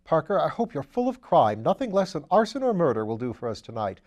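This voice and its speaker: An excitable upper-class gentleman sleuth, as a male reader puts him on, pitched well above the reader's own voice. He is chatty, playful and theatrical, a whimsical audiobook character. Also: fast talker, muffled recording. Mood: exuberant.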